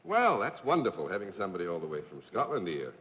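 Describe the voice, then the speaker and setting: Man, lively joking game show host, dull old broadcast sound, long studio reverb. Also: low-pitched, fast talker, crowd noise. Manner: genial, joking, laughs often. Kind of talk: live TV game show hosting.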